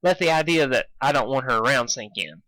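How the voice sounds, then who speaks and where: A man's voice: medium pitch, expressive, fairly quick, sharp tone, no room sound, poor audio. A man voicing a brash, impatient and easily flustered female flying pony in a harried, dramatic manner. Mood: relieved.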